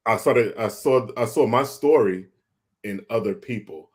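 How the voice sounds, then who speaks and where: A man with a fairly low voice shares a personal story in casual conversation. He feels his way through it haltingly, often repeating words as he goes. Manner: halting, repeats words as they think aloud. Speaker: guest sharing a personal health story.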